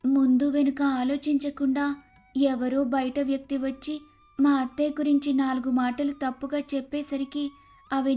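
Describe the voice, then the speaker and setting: A woman's voice: sits high, warm tone, close to an everyday voice. A woman voicing an earnest, respectful young daughter-in-law in an acted moral story. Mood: ashamed.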